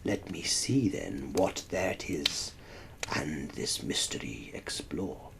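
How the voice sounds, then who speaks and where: A man giving a dramatic recitation of a gothic poem, theatrical and often dropping to a hushed near-whisper. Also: extremely low pitch, expressive, takes it slowly, creaky. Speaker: poetry reader. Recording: noticeable background noise.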